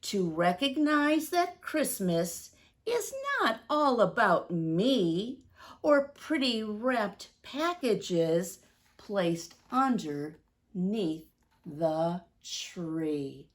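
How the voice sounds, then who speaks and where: Lady reading humble old santa praying in a storybook, super slow and reverent, voice rises and falls a lot. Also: medium pitch, animated. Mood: earnest, reverent.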